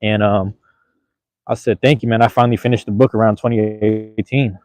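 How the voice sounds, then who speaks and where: Laid-back man with a low, dark voice. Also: steady tone, brisk, no echo. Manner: laid-back, slangy, repeats himself. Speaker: livestreamer chatting with viewers. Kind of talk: casual rambling live chat. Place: outdoors in light rain. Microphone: phone camera.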